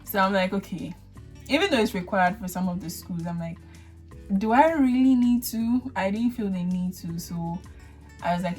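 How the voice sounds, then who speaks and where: A young woman with a Ghanaian accent, not a native English speaker, hosting a casual chat and telling her story with lively acted-out thoughts. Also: lowish voice. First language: a Ghanaian language. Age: young adult. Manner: animated storyteller acting out her own thoughts. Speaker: vlogger host.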